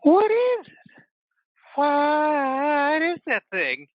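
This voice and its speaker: A man playing an excitable, loyal biker in an audio play, drawing words out and going from hushed to yelling. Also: very high voice, no echo, lo-fi sound, exaggerated voice. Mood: panicked. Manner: drawn-out, swinging from hushed to yelling. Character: excitable male biker, loyal, scrappy, scheming under pressure.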